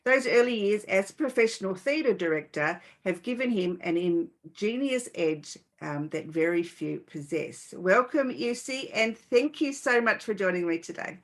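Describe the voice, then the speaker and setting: A woman hosting a podcast, giving introductions and questions in a presenterly way with pauses mid-sentence. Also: medium pitch, expressive. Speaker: podcast host. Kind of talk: scripted introduction and interview questions.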